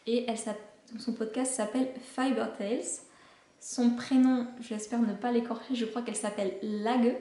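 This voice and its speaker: A woman's voice: fairly high pitch, level tone, normal speed, warm tone, echoey room. A woman hosting a knitting podcast, chatting casually about shows she recommends, with many hesitations. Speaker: knitting podcast host and pattern designer.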